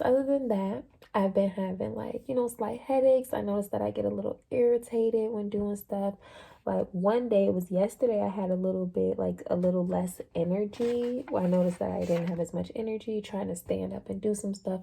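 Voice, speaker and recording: A young adult woman talking casually about her experience in a personal vlog, relaxed and chatty with plenty of fillers. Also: mid-range voice, gravelly, husky. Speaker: vlogger sharing a fasting journey.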